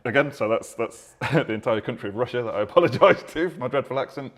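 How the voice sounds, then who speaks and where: A man's voice: medium pitch, lively intonation, fast talker. A man co-hosting a live stream, chatting casually and reading out viewer comments. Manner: chatty, self-deprecating, reads out viewer comments.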